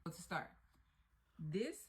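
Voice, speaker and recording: A woman's voice: on the low side, sing-song, slow pace. A woman giving a casual video review as a customer, displeased and emphatic but matter-of-fact.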